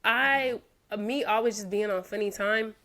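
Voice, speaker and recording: A woman's voice: medium pitch, a little slow, bright, forceful tone. A woman co-hosting a podcast, telling stories in brash, casual talk full of swearing. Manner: brash, storytelling, swears freely. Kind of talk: casual chat.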